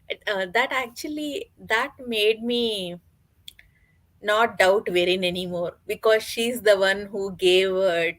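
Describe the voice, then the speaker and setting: A woman co-hosting a casual book discussion, speaking excitably and haltingly with frequent self-corrections. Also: medium pitch. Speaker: co-host of a book readalong discussion.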